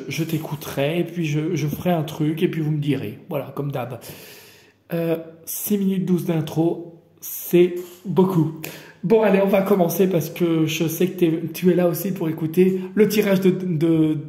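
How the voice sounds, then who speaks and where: A man's voice: a little high, warm tone. A man from the Paris region doing a casual, improvised card reading. He rambles in a familiar way and talks straight to his viewer. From Paris region, France. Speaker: card reader hosting daily guidance videos. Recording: roomy echoey room.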